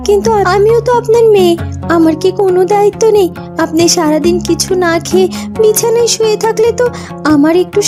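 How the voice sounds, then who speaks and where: A woman voicing a devoted young royal daughter-in-law in a cartoon, coaxing and reassuring in an earnest way. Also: very high-pitched. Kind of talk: cartoon voice acting.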